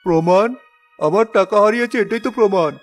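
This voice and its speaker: Man acting a pushy, high-voiced greedy claimant. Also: brisk, soft background music, lightly acted. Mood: pushy, hasty. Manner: insistent, pleading then threatening. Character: pushy, greedy man falsely claiming a lost money pouch. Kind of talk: animated folk-tale dialogue.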